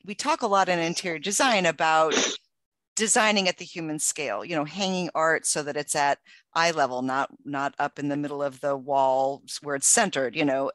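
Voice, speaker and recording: A woman's voice: sits low, a bit bright, dry recording. A woman hosting a design podcast, thinking aloud in an engaged, affirming way. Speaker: podcast host. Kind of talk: casual interview conversation.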